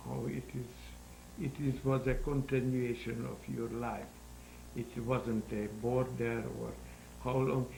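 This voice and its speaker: Elderly male guest, halting phrasing, slow and creaky, echoey room. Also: medium pitch, little pitch movement, dark tone. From Hungary. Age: 90s. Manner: halting, searching for words, non-native grammar. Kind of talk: interview answers. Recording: roomy echo with faint hum.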